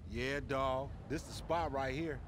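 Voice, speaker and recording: An actor playing a boisterous, friendly young street hustler in a video game, with an American accent and slangy, swaggering delivery. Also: male voice, mid-range voice, steady tone, measured pace, background noise, lightly acted. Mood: cocky, teasing.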